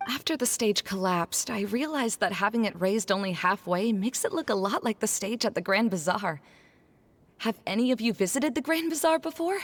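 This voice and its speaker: A woman voicing a composed, reassuring young woman in a video game, guiding her companions in a gentle, explanatory way. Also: medium pitch, lively intonation, moderate pace, lightly acted. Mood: curious. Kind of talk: video game character voice acting.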